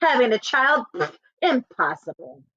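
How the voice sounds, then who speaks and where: A woman's voice: on the high side, very animated, unhurried, bright, forceful tone, dry recording, muffled recording, voice pushed up, exaggerated voice. A woman reading the part of a pompous, irritable, dismissive medieval husband in a storybook. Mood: irritated, dismissive. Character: haughty, irritable prosperous husband in medieval England.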